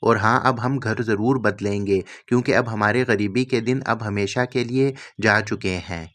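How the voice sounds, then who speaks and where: A man's voice: fairly low pitch, fairly even intonation, moderate pace, fairly bright tone, close to an everyday voice. A man voicing an earnest, grateful poor farmer in a cartoon story.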